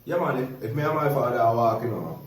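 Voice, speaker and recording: A man with a Jamaican accent telling lively, laughing stories in a casual chat. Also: mid-range voice, fast. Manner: lively, laughing, animated storytelling in patois. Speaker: guest storyteller. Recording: roomy echo from a distance.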